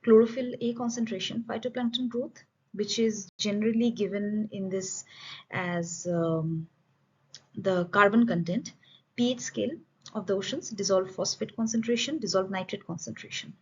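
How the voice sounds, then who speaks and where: Husky woman giving an academic presentation. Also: medium pitch, steady tone, moderate pace. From India. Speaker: student researcher presenting a study. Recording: dull, lo-fi voice recording.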